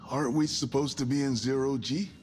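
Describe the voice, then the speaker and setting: A man's voice: medium pitch, fairly even intonation, brisk, darkish tone, soft background music, close to an everyday voice. A man playing a groggy, confused colony ship passenger just woken from sleep in a sci-fi game. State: woozy. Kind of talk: cinematic game acting.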